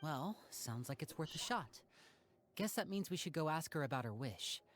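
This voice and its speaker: A man voicing a calm, protective young magician who acts as an older brother. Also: on the high side, normal speed, slight background noise. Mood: determined. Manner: calm, gentle and earnest. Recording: game cutscene audio with background music. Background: game music.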